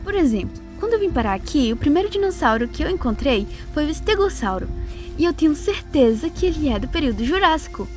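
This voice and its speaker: A high voice over faint music: an actress as a serious female survivor in an audio drama. She is Brazilian in accent and explains things in an analytical, matter-of-fact way. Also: sing-song, fast talker. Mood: puzzled, explaining.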